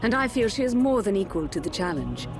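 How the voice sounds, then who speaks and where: An actress playing a cool, calculating middle-aged royal consort with poised, courtly speech in a fantasy game drama. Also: female voice, medium pitch, very animated, fairly quick, lightly acted. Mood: coolly insistent. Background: loud background music.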